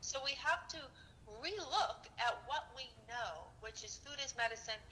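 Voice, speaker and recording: Bright-voiced woman pediatrician on a phone line. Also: a little high, normal speed, slight background noise. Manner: lively, laughs often, acts out quoted remarks. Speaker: pediatrician guest. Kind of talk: phone interview, animated storytelling.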